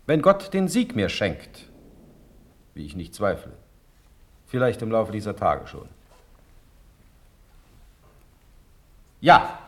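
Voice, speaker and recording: A commanding, fatherly Prussian sovereign for a classical radio play. The voice is low and very varied in pitch, with a stately, declamatory delivery. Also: wildly swooping pitch, unhurried, full character voice.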